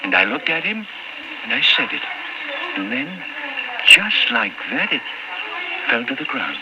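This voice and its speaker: An actor playing a timid, guilt-ridden old man in an old-time radio drama, halting, anxious and pleading. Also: mid-range voice, big pitch swings. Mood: haunted. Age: older. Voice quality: halting, stammering. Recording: muffled, noisy old-time radio recording.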